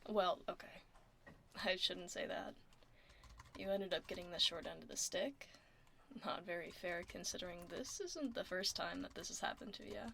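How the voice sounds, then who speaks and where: Actress as laid-back werewolf office admin, tomboyish, soft ASMR delivery with plenty of fry, close mic, faint noise. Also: female voice, lowish voice, moderate pace. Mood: easygoing, reassuring. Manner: casual, reassuring, wry, murmuring. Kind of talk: ASMR roleplay, soft casual conversation. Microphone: close binaural ASMR microphone.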